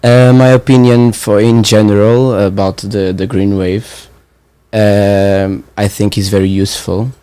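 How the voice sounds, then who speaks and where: Portuguese guy in his 20s, english not his first language, lots of uhs, low mellow voice, dry sound. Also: level tone, smooth, no echo. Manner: halting, searching for words with many fillers. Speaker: training participant. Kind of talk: casual conversation.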